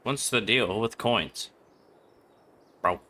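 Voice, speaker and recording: Forceful low-voiced man streaming games. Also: slow. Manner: muttering, profane, exasperated asides. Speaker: game streamer. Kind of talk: casual live gameplay commentary.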